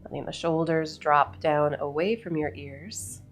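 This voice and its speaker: A woman leading a guided meditation in a soothing, unhurried way, with measured phrases and long pauses. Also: low voice. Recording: voice over background music. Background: background music.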